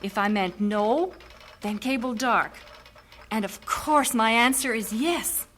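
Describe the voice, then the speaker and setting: A woman's voice: on the high side, slow pace, fairly bright tone, slight background noise. An actress playing an earnest, heartfelt young woman missionary about to sail overseas in a vintage radio drama. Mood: eager. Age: young adult.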